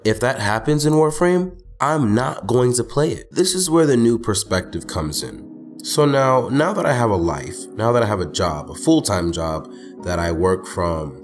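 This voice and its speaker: A young man with a lowish voice, a bit hoarse from being sick, talking in a casual, slangy, joking way about games. Music plays behind him. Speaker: gaming YouTuber. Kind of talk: casual commentary video. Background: background music.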